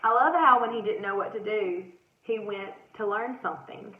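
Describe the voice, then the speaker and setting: A woman's voice: mid-range voice, normal speed. A woman presenting a picture book to children, explaining it simply and repeating words for emphasis. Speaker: read-aloud presenter commenting on the story. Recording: thin phone-like sound, roomy echo, background noise.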